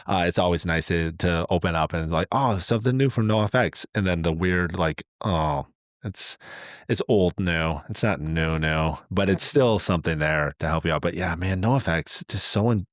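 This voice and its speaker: A man's voice: deep voice, no room sound, clean studio sound. A middle-aged man co-hosting a podcast, talking casually in a rambling, enthusiastic way as he works out his thoughts. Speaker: podcast co-host. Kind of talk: casual conversational podcast talk.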